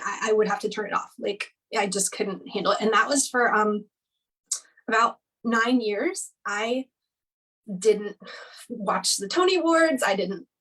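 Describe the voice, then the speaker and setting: A woman's voice: medium pitch, pushed and bright. Chatty woman talking from a distance. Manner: chatty, rambling, with frequent likes and laughs. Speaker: interview guest. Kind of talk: casual interview chat.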